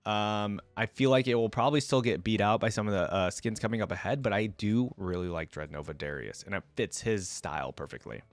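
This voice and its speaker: Casual reaction guy with swooping, lively pitch. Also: a little fast, hardly any pauses, no echo. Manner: slangy, thinks aloud, hedging opinions as he goes. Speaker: reaction video host.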